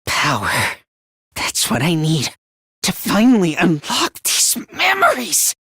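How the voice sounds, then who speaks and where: Casting: fragile, timid teen boy for a video game; high androgynous voice, slow and hesitant, swinging widely in pitch. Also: huge pitch swings, vocal fry, pushed and bright, breathy edge, no echo, clean studio sound, exaggerated voice. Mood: desperate, obsessive. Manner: timid, hesitant, stammering, emotionally volatile. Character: anxious, self-deprecating, frail teenage boy, unstable under strain. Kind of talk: video game voice acting, dramatic.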